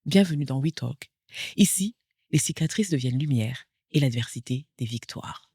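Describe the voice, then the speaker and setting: A woman's voice: rumbling low, expressive, moderate pace, husky, dry recording, clean studio sound. A woman in her forties hosting a podcast interview, reading a poetic introduction before chatting with her guest. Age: 40s. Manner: reads a lyrical, poetic introduction, then chats warmly. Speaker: podcast host.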